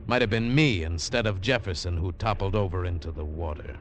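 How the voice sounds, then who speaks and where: Male actor as hard-boiled adventurer, low voice, world-weary, 1940s radio drama, loud music underneath. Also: a little fast, lightly acted. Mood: grim, tense. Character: world-weary American adventurer telling his own hard-boiled tale.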